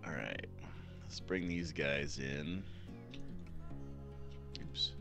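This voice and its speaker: Young guy with a super deep radio voice, laid back stream chat, little music under it. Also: very slow, vocal fry. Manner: relaxed, narrates his work as he goes. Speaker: streamer designing a keyboard. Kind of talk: casual live-stream chat. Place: small room at home. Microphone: headset or desk mic at a computer.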